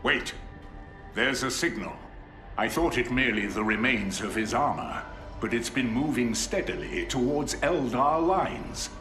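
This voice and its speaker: An actor playing a stern, disgraced inquisitor. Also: male voice, medium pitch, animated, a little slow, sharp tone. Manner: stern, urgent. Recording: echoey with music.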